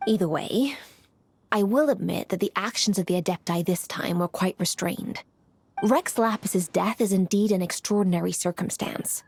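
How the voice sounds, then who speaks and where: Casting: a proud young female official in a game, mid-pitched, crisp and outspoken. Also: darkish tone. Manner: crisp, assertive, formal. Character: proud, frank, sceptical young noblewoman official. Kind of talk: video game voice acting.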